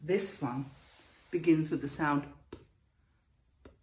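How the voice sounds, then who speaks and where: A woman's voice: low-pitched, slow, a little mellow. A woman presenting a show-and-tell lesson for young children, talking to them directly in a sing-song way and stretching out key words. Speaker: children's storytime presenter and teacher. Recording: roomy echo.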